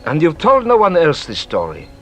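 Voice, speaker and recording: A shrewd police inspector of radio drama. His voice sits fairly high and leaps dramatically up and down as he probes and exclaims. Faint music plays beneath him. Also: huge pitch swings, moderate pace, a bit bright. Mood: shrewd, pressing. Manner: probing, exclamatory, theatrical. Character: shrewd, theatrical French male police inspector. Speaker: actor playing a detective inspector.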